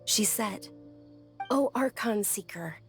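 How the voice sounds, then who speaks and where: A woman playing a polite, devout, gentle young woman in a video game, speaking earnestly and apologetically. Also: medium pitch, lively intonation, takes it slowly, gravelly. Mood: earnest storytelling. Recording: music behind the voice.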